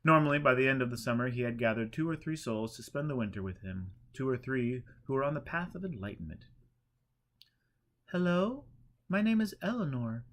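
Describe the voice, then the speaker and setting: A male audiobook reader with a medium-pitched voice. He delivers scripted story narration. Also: lively intonation, fast talker, hardly any pauses, a bit bright.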